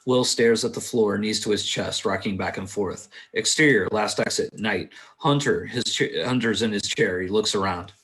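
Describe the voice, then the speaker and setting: A man narrating stage directions at a film script table read, halting and sometimes stumbling over his words. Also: on the low side, fairly bright tone, poor audio. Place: indoors on a remote internet call.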